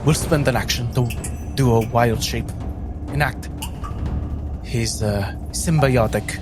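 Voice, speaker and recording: Man player describing his rpg character, talks slow, mid pitch, music under it. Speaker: tabletop game player. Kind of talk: descriptive narration of his character in a tabletop game.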